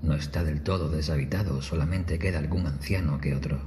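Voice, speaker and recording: A man's voice: very deep voice, brisk, mellow, soft background music. A man narrating a scripted first-person horror story as a storyteller.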